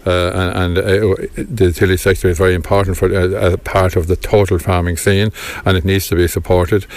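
Deep, flat-voiced farming spokesman. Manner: measured, halting with many restarts. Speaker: farm organisation representative. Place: outdoors on a potato farm.

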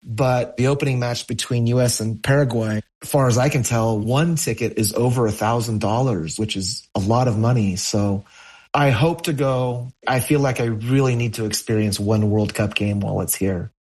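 A man's voice: medium pitch, normal speed, darkish tone, husky. A middle-aged American man, a poet, talking reflectively as a guest in an interview. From United States, California.

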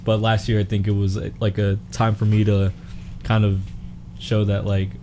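A man's voice: lowish voice, little pitch movement, moderate pace, dark tone, a little hiss or hum. A man in his twenties, a panelist in a casual group chat on a sports show, talking in an easygoing, hesitant way with many fillers. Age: 20s.

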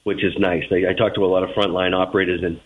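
Low-voiced Boston man on a phone line. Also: level tone, fast. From Boston, United States. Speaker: transit agency general manager, interview guest. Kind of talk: spoken radio interview answers. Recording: narrow tinny phone line.

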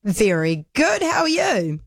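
A woman's voice: medium pitch, big pitch swings, takes it slowly, no room sound, studio quality. Chatty New Zealand woman hosting talkback. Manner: chatty, warm-hearted, laughs easily, many ums. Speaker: radio talkback host. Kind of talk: casual talkback chat with callers. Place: radio studio.

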